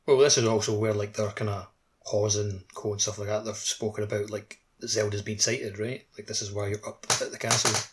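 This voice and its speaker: A man's voice: lowish voice, level tone, a little fast. A man chatting casually as a co-host on a gaming podcast. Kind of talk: casual chat. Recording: faint background noise.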